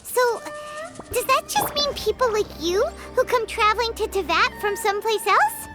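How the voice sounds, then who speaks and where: A woman voicing a tiny, chirpy, childlike fairy companion in a video game, excitable, curious and chatty. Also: very high-pitched, moderate pace, over loud music. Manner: exclamatory, chatty.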